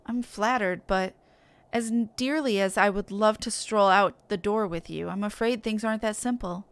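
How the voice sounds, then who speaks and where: A woman's voice: medium pitch, moderate pace, clean tone, dry recording, voice pushed down, close to an everyday voice. A woman voicing a polite, earnest young male heir with a courteous, well-spoken manner. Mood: flattered, regretful.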